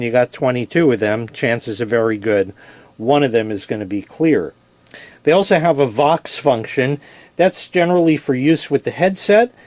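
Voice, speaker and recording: A man gives a casual product review at a mid-range pitch. He explains each step in plain, conversational language, like someone showing gear to a viewer. The sound is dry, with very little room echo. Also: darkish tone.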